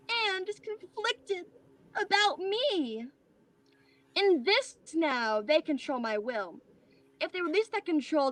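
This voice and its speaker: A woman playing a godlike bound artificial being in a play reading, speaking in a formal, measured, detached way. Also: high-pitched, no room sound, poor audio. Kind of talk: virtual staged play reading.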